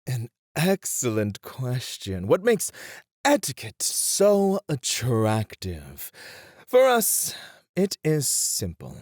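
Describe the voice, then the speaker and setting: A man playing a suave, playfully formal etiquette tutor in an ASMR roleplay, with theatrical, deliberate, flowery phrasing. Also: mid-range voice, huge pitch swings, darkish tone, no room sound, clean studio sound, heavily acted. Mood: courtly, instructive.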